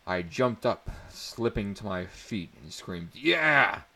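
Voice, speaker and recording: A man's voice: low voice, slow. A man narrating a first-person story as a dramatic reading.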